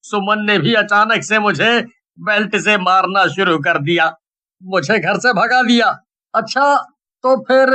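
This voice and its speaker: Man playing an exasperated husband, high bright voice. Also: full character voice. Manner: indignant, pleading, dramatic. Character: exasperated village husband beaten by his wife. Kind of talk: Hindi animated story dialogue.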